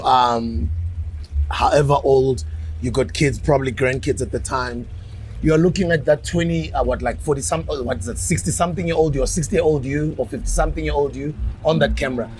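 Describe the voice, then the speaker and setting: A South African man in his forties hosting a casual podcast interview, animated and playful, often breaking into laughter. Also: mid-range voice, fairly quick, slight background noise, poor audio. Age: 40s. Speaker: podcast host.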